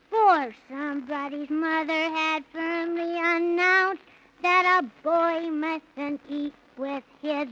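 A woman's voice: sits high, sharp tone, full character voice. A woman playing a polite, earnest six-year-old boy in a 1930s radio serial, courteous and hesitant. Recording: muffled 1930s radio recording.

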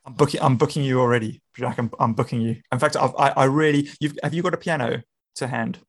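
A man's voice: medium pitch, fast talker, husky. A man hosting a podcast, friendly in manner, often laughing and restarting his words. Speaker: podcast host. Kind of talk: casual interview.

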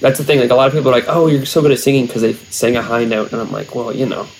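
A young man in casual conversation, wandering through his thoughts with plenty of fillers and false starts. His pitch sits in an ordinary middle range. A faint noise hangs under the recording. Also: fairly even intonation, racing, low-quality recording. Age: young adult. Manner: rambling, many fillers and restarts. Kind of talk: casual chat.

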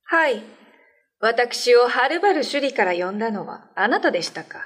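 A woman's voice: a little high, very animated, normal speed. A woman playing a dignified, loyal spirit medium in a radio drama, speaking formally and firmly. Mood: composed, respectful. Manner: formal, deferential, firm.

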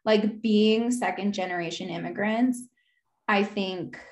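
A young American woman in her twenties talks openly as a podcast guest. Her delivery is loose and conversational, full of "like" and "you know", and she often pauses to gather her thoughts. Her pitch sits in a middle range for a woman. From United States. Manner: casual, frequent "like" fillers, reflective. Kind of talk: candid conversational interview.

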